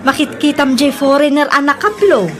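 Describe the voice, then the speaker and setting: A woman playing a brash young bar hostess in an Ilocano audio drama, with a distinctly high voice. She speaks with an Ilocano Filipino accent and a defiant manner. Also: moderate pace, background noise, close to an everyday voice. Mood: teasing, chatty. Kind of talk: radio-style audio drama acting.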